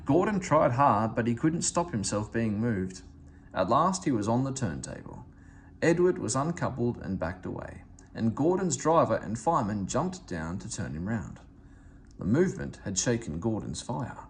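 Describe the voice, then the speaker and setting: Animated man reading a children's story. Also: medium pitch, moderate pace, gravelly, a little hiss or hum. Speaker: storybook reader. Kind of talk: children's story read aloud.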